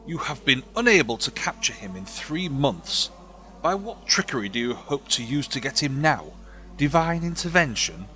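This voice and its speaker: An actor playing a stern, suspicious hard-line bishop, theatrical, emphatic and sardonic in an audio drama. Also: male voice, medium pitch, sing-song, normal speed, sharp tone. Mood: impatient, mocking.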